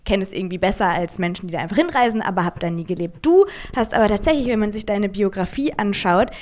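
A woman's voice: mid-range voice, animated, fast talker, darkish tone. A woman who grew up in Germany interviews her guest, asking attentive, open questions and murmuring along to show she is listening. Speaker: interviewer, host. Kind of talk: conversational interview.

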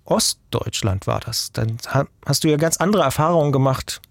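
A man's voice: mid-range voice, moderate pace, gravelly, no room sound. A German journalist in his forties from the east of the country, talking thoughtfully as an interview guest in long, restarting sentences. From eastern Germany. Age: 40s. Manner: thoughtful, talks in long chains with restarts and tag questions. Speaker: journalist and podcast maker. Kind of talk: interview guest, reflective conversational talk.

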